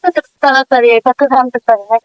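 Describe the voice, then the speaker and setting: A woman's voice: sits high, fairly even intonation, fast, no room sound. A woman with a Bangladeshi accent, chatting casually on a phone call. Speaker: prank call recipient.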